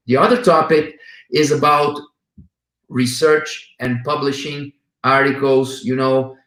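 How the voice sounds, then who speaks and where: A man's voice: medium pitch, fairly bright tone, echoey room, low-quality recording. A man with a Brazilian accent hosting a casual interview, asking eager questions with many fillers. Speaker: host.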